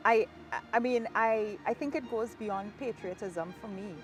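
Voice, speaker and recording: A woman's voice: medium pitch, unhurried, music in the background. Trinidadian woman author, reflective. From Trinidad and Tobago. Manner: warm, reflective, laughs easily. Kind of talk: outdoor interview. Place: outdoors in a park, rain falling.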